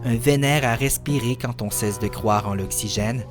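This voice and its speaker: A man's voice: mid-range voice, normal speed. Man reciting spoken-word poetry over music. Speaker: poet reciting own work.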